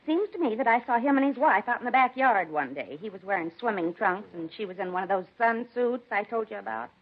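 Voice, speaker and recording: A woman's voice: mid-range voice, lively intonation, brisk, lightly acted. An actress playing a nosy, prim, chatty neighbor woman who rambles fussily in a 1950s radio drama. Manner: rambling, fussy, gossipy. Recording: muffled 1950s radio recording.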